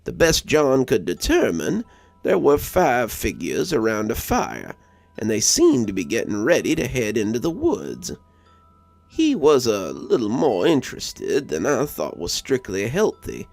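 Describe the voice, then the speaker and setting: A man's voice: medium pitch, wildly swooping pitch, unhurried, creaky, no room sound. A man reading a scripted story as a narrator. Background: music under the voice.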